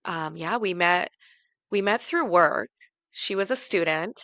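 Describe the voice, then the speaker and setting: A woman's voice: lowish voice, a little slow, fairly bright tone, no room sound. A woman in her late thirties co-hosting a podcast, chatting casually and rambling with self-deprecating laughs. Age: 30s. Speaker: podcast co-host. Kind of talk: casual chat.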